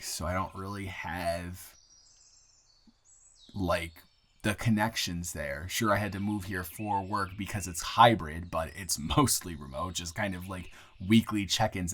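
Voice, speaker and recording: A man plays an earnest young guy in his early twenties, smitten and new in town. His voice sits a little low. He talks straight to the listener in an intimate improvised roleplay, rambling and doubling back on his words. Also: fairly bright tone. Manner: halting, rambling, with repeated words and nervous chuckles.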